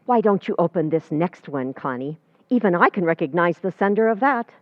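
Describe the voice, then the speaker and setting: A lowish, very animated voice for a motherly, gossipy landlady acted by a woman. She fusses theatrically in old radio comedy style, on a slightly dull recording. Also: fairly quick, no room sound, lightly acted. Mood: fussing. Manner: expressive, fussing, theatrical delivery.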